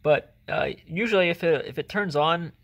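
Gravelly-voiced man, rambling. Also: fairly high pitch, normal speed. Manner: relaxed, rambling, self-correcting. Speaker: reseller vlogger. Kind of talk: casual haul video narration. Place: indoors at home, filming items on floor.

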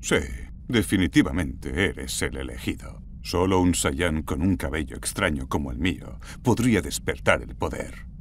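Man acting very deep, grave warrior legend. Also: animated, normal speed, slight background noise. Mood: solemn. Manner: grave, portentous. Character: ancient, imposing male warrior legend, grave and stately. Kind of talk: cartoon fandub acting. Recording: music behind the voice.

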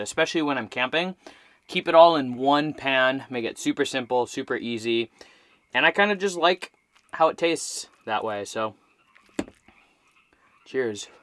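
A man in his thirties talks straight to his camera from a cramped truck camper. He sounds relaxed and chatty, in an everyday mid-range voice. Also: mid-range voice. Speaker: vlogger. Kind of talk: casual solo camping vlog. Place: inside a small truck camper.

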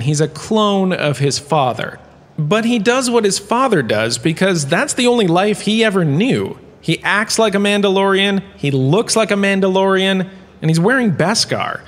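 An actor playing a vain, pompous professor of galactic history, giving a showy scripted comic lecture. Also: male voice, high-pitched, very animated, unhurried. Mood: lecturing. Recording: long hall-like reverb.

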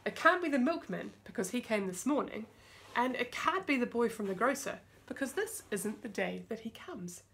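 A hospitable, slightly puzzled mummy from a picture book as a woman reads her. Her voice is high and gentle, with a storybook delivery. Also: expressive, normal speed, creaky. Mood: wondering.

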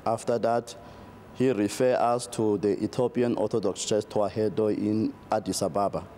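A middle-aged South African man, a pastor, answering interview questions slowly with many fillers and restarts, recorded in a TV studio on a lapel mic. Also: fairly low pitch, little pitch movement, dark tone, a little hiss or hum.

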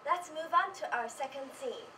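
A woman's voice: sits high, lively intonation, moderate pace. A teenage young woman delivering a scripted student presentation on stage in a rehearsed, emphatic way. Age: teens. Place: small presentation stage indoors. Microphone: far camera. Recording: echoey room, distant mic, faint noise.

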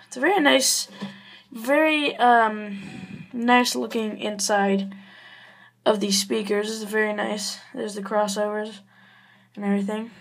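Slow, creaky-voiced woman reviewing gear. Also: medium pitch. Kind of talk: casual home gear review, rambling. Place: small bedroom at home, indoors. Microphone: handheld camera or phone.

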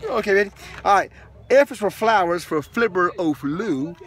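A man's voice: sits high, moderate pace. A man in his thirties, a father playfully reading a picture book aloud to his young son in the back of a minivan. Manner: playful, animated storytelling with asides to the child.

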